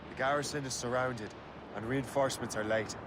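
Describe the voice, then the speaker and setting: An actor playing a loyal, wry young Irish sea captain in a video game cutscene. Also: male voice, medium pitch, steady tone, a little fast, background noise, close to an everyday voice. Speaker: ship captain.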